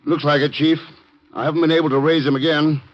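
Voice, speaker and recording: A man's voice: a little high, level tone, fast, close to an everyday voice. Man as a composed agent on muffled radio. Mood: matter-of-fact. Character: composed male American intelligence agent. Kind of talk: 1950s radio drama acting. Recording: muffled old radio recording.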